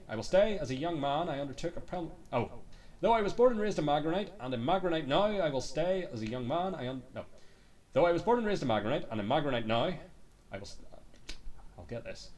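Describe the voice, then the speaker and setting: A man with a fairly high, very expressive voice reads fantasy game text like a dramatic storyteller. He breaks off into muttered, sweary asides. The microphone sounds slightly distant. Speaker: game streamer reading aloud. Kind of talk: dramatic reading of in-game books.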